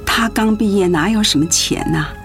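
A woman's voice: mid-range voice, moderate pace, natural voice. An actress playing a doting, fussy older mother and grandmother in a radio drama. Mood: subdued, reflective. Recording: music behind the voice.

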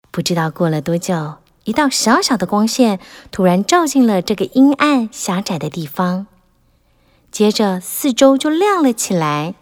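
A woman narrating a children's fairy tale in an animated, expressive way, rising and falling with the drama. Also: mid-range voice. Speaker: storyteller.

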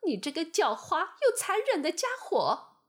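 A woman voicing a small young lamb who protests in a high, pleading voice. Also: wildly swooping pitch, unhurried, full character voice. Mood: desperate. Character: small young lamb, timid but indignant.

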